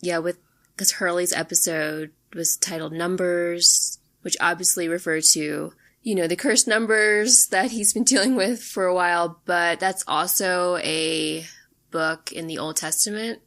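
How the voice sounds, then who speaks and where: A woman co-hosting a podcast in casual chat, agreeable and quick to laugh. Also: lowish voice, steady tone, a bit bright. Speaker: podcast co-host.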